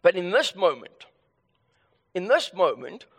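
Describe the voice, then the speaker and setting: A man with a South African accent preaching a sermon and reading scripture, his voice raspy and hoarse from illness, earnest with frequent restarts. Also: high-pitched, sing-song, measured pace, fairly bright tone, long reverb tail. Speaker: pastor.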